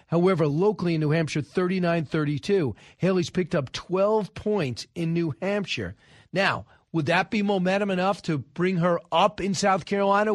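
A man's voice: high voice, no echo, clean studio sound. An American man hosting live talk radio, giving rambling, conversational commentary and correcting himself as he goes. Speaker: radio show host. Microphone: close studio microphone.

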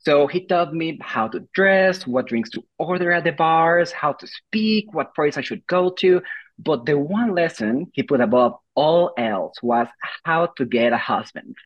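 A young man from Mexico with a Mexican accent, delivering a playful, rehearsed pitch of his show as a guest. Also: lively intonation, measured pace. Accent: Mexican Spanish. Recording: dull lo-fi audio.

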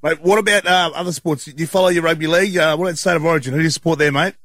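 A man's voice: a little high, fairly even intonation, racing, a bit bright, dry recording. A man with an Australian accent co-hosting on radio in casual, matey, blokey chat. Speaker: radio co-host.

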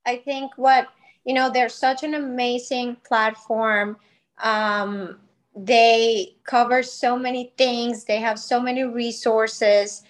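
A woman's voice: on the high side, little pitch movement, takes it slowly. A woman in her thirties from Colombia, telling her own story as a podcast guest in a candid, informal, conversational way. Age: 30s. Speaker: podcast guest, wellness business founder.

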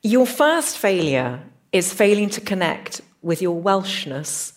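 A woman's voice: mid-range voice, sing-song, slow. A woman hosting a podcast interview, asking questions in an articulate, engaged, conversational way.

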